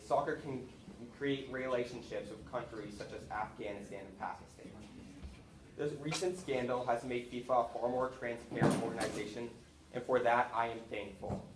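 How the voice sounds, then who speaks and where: A young man, giving an earnest prepared speech to classmates in a school hall, with small restarts now and then. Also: mid-range voice. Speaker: student speaker. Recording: echoey room with faint noise.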